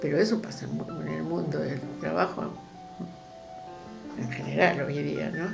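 A woman with an Argentine accent reflecting in an interview, halting, stressing key words. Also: deep voice, steady tone, gravelly, poor audio. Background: plucked guitar music.

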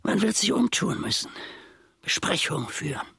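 Husky, gravelly old manservant, wildly varied delivery. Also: male voice, sits high, very animated, normal speed, sharp tone, dry recording, clean studio sound, exaggerated voice. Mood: sullen, conspiratorial. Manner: mannered, dropping into whispers, archaic stilted diction. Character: aggrieved, brooding old manservant scheming against his master. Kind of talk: theatrical German radio play monologue.

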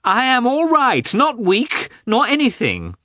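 A headstrong young wife in an audiobook, acted out by a man, her voice lifted high and swinging widely. She is wounded and indignant, pleading her case with heavy emphasis. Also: sing-song, moderate pace, fairly bright tone, no room sound, studio quality. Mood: tearful resentment. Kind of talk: dramatised audiobook dialogue.

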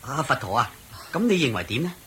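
A man's voice: mid-range voice, very animated, fast talker, fairly bright tone, a little hiss or hum. An actor playing a curious, good-natured male traveller in a Cantonese radio drama, questioning and exclaiming in a Hong Kong accent. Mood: surprised, reasonable. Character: curious, good-natured clansman traveller, eager and plain-spoken.

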